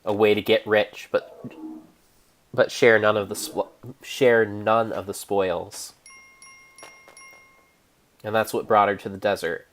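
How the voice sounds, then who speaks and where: A man narrating an improvised story in a theatrical, measured way, pausing between phrases. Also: on the low side, fairly even intonation, fairly bright tone. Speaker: streamer, narrator.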